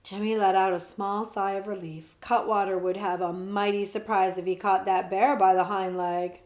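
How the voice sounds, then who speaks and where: A woman librarian reading a children's book aloud with lively, expressive narration. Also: medium pitch, normal speed. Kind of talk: storybook read-aloud. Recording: echoey room.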